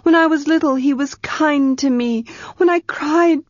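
A woman's voice: high voice, measured pace, dry recording, pitched above the performer's own voice. A woman voicing an anguished young woman, proud and guilt-ridden, who talks in a tense, pleading way that breaks with grief. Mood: grieving. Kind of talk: audiobook character reading. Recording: dull, muffled sound.

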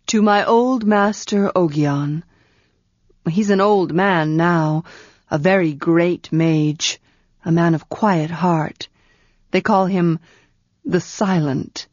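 Woman voicing a calm, kindly male wizard. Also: fairly low pitch, no echo. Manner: quiet, soothing, measured. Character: gentle, wise male wizard, calm and kind. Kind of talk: audiobook character reading. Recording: dull, muffled sound.

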